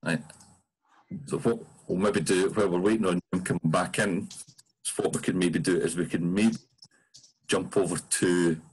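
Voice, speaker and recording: A man's voice: low-pitched, slightly rough, poor audio. A Scottish man hosting an online fan Q&A, chatting informally and a little hesitantly on a video call. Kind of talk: conversational moderating.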